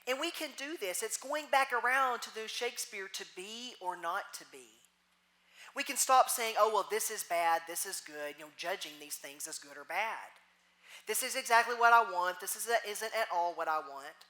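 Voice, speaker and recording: Bright-voiced woman minister with a Texas accent. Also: medium pitch. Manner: gentle, reflective, slowing to soft pauses. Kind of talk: sermon.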